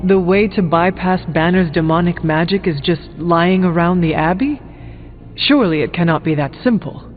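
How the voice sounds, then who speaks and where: An actress as a grim-minded huntress in a video game. Her voice is somewhat low and formal, thin and drenched in long reverb. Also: normal speed, phone-quality sound, faint music behind, natural voice. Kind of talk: video game character dialogue.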